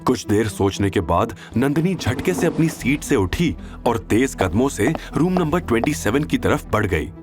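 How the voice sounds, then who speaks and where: Casting: male narrator for suspenseful serial fiction, medium pitch, very expressive, faint music underneath. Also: normal speed, few pauses. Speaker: audio drama narrator. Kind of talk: dramatic scripted story narration.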